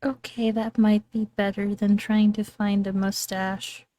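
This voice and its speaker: Slow, dry-sounding woman setting game scenes. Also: mid-range voice, level tone, mellow, no room sound. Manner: questioning, thinking aloud. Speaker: tabletop role-playing game player.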